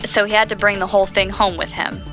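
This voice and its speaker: A bright, clear-cut female voice acted by a woman at a middle pitch, over faint music. She plays a curious, skeptical interviewer in an audio drama, probing conversationally yet with sympathy. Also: flat delivery, fast talker, natural voice, poor audio. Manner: inquisitive, conversational.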